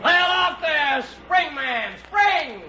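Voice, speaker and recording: An actor playing an impatient ship's mate who barks drawn-out orders at his crew in an old radio drama. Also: very high voice, animated, heavy background noise, exaggerated voice. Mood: impatient, bellowing. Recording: muffled old radio, crew clamour behind.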